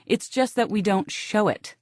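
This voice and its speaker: A woman's voice: mid-range voice, measured pace, darkish tone, no room sound, studio quality, voice pushed up, natural voice. A woman voicing a breezy, sweetly dismissive middle-aged mother in an audiobook. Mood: matter-of-fact. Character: breezy, emotionally distant middle-aged mother writing letters. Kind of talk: audiobook character reading.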